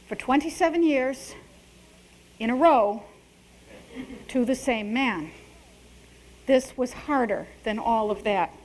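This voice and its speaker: Animated, self-mocking middle-aged woman. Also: high-pitched, poor audio. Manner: animated, self-mocking, laughs at own jokes. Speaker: author giving a talk. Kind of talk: conversational public talk.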